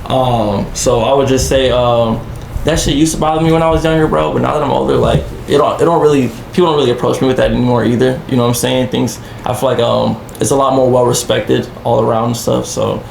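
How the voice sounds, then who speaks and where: A man's voice: mid-range voice, fairly even intonation, quick pace, vocal fry, darkish tone, slight background noise. A man from Southwest Detroit, a musician and dancer, chatting as a podcast guest in a laid-back, slangy way. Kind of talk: casual chat. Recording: echoey room.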